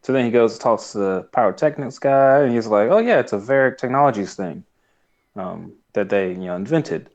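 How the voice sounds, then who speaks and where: A man's voice: mid-range voice, lively intonation, a little fast, a little mellow, dull audio. A man co-hosting a podcast, recapping a show casually and somewhat hesitantly. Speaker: podcast co-host. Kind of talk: casual episode recap chat.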